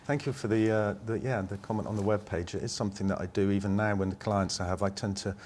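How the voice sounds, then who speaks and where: A man with an English accent and a fairly low voice talks as an interview guest. He rambles through personal stories, often breaking off and restarting. There is faint noise and ambience behind him. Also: fairly even intonation, fast talker, darkish tone. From England. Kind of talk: conversational interview, personal anecdotes.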